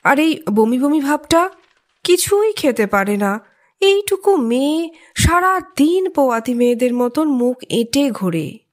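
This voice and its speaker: A woman's voice: sits high, big pitch swings, unhurried. A woman playing a worried, fussing mother in an audio drama, anxious and questioning. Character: worried, fussing mother of a teenage girl patient. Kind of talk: audio story dramatic acting.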